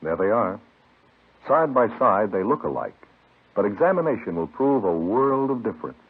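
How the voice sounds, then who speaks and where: A man's voice: fairly low pitch, sing-song, moderate pace, slightly rough. A man announcing in an old radio commercial style, with an emphatic, polished sales delivery. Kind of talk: 1950s radio commercial narration. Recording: tinny, muffled vintage radio recording.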